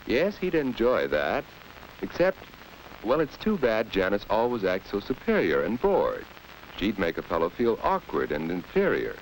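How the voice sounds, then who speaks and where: A man's voice: lowish voice, normal speed, low-quality recording. An American man narrating a 1940s instructional film in a guiding, rhetorical manner. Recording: old film soundtrack with steady hiss.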